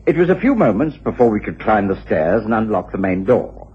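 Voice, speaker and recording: An actor playing a genteel British detective's companion, narrating a radio mystery in a husky voice. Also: male voice, lowish voice, sing-song, brisk, heavily acted. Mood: measured, descriptive. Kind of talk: scripted first-person story narration in radio drama. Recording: muffled old radio recording.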